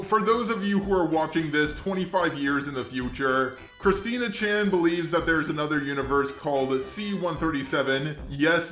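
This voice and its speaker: A man's voice: fairly high pitch, a bit bright, reverberant. A man hosting an internet commentary video, reading a scripted narration. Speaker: commentary channel host.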